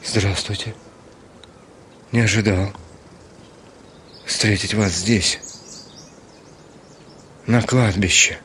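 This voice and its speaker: An actor playing an anguished, proud, nervy gentleman consumed by guilt in a theatrical radio drama. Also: fairly low pitch, fairly even intonation, drawn-out words, pauses often, a little hiss or hum. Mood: guarded, uneasy. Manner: theatrical, swinging from brooding confession to outbursts. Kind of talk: Russian radio drama acting. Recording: studio radio play with music behind.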